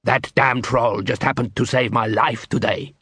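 A man's voice: mid-range voice, fairly even intonation, normal speed, a bit bright, breathy edge, dry recording, studio quality. A man voicing a short, exasperated dwarf constable in an audiobook, irascible and snappish. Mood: indignant, furious. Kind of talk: audiobook character voice.

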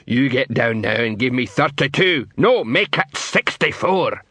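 A man's voice: medium pitch, expressive, a little slow, sharp tone, slightly rough, no room sound, heavily acted. A man voicing a proud, dim troll constable in an audiobook, barking drill orders in clumsy grammar. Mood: pompous, commanding. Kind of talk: audiobook character voice.

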